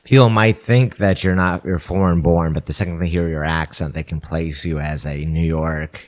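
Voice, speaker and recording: A man's voice: deep voice, steady tone, moderate pace, a little mellow. A man co-hosting a podcast in casual chat, making agreeable, opinionated remarks. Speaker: podcast co-host.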